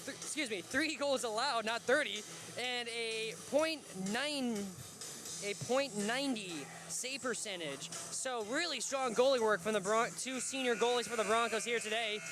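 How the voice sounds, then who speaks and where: Young male hockey announcer, very high voice, chatty, stumbles over numbers, music bed underneath. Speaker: play-by-play announcer. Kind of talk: local sports broadcast commentary.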